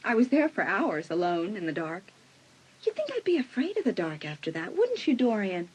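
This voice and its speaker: Breathy, menacing teenage sister. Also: female voice, mid-range voice, big pitch swings, normal speed, a little mellow. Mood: taunting. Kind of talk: dramatised audiobook acting. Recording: slightly dull sound.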